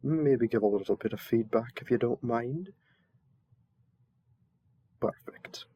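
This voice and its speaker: A man's voice: mid-range voice, takes it slowly, breathy edge, dry recording, dull audio. A man playing a flustered, polite envoy mistaken for a servant, speaking hesitantly with stammers and deference in a roleplay audio drama. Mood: polite, reserved.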